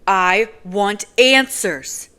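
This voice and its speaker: A woman voicing a furious young man in an audiobook, loud and heated as he demands answers. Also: fairly low pitch, takes it slowly.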